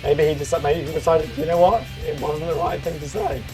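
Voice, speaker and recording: A man co-hosting a casual comedy review, croaky from a sore throat and teasing dryly. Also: on the high side, brisk, music in the background. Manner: dry, teasing. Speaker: co-host of a review show.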